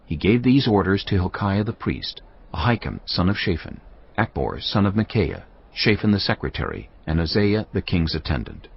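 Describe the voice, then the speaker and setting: A man narrating a dramatised scripture reading in a measured, solemn storytelling manner. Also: low-pitched, sing-song, vocal fry, husky. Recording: slightly dull audio with music bed.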